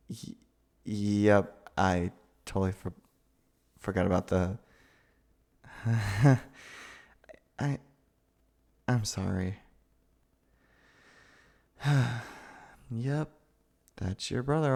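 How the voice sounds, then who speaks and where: A nervous, sweet young man played by a male voice actor in an intimate ASMR roleplay. His voice is on the low side with plenty of creak, and he speaks slowly. He stammers, hesitates and sighs like someone awkwardly smitten. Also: a little mellow. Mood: embarrassed. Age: young adult. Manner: flustered, stammering, hesitant, sighing. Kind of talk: ASMR boyfriend roleplay, acted monologue.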